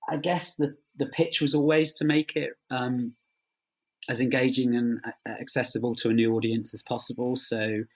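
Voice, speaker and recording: Husky-voiced man giving halting answers. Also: mid-range voice, little pitch movement, low-quality recording. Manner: hesitant, halting phrasing with many trailing rephrasings. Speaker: writer, interview guest. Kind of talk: thoughtful interview answers.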